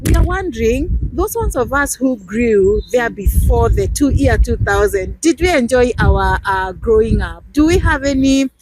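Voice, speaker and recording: A woman hosting an interview, asking questions slowly and repeating herself. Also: a little high, lively intonation, darkish tone, breathy edge. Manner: slow, repetitive questions. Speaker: host. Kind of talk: interview host. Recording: noisy background.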